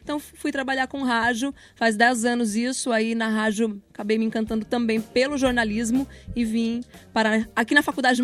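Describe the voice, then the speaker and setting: A woman, speaking articulately and informally as a guest in a conversational interview. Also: a little high. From Paraná, Brazil. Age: 30s. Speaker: interview guest, radio announcer and journalist.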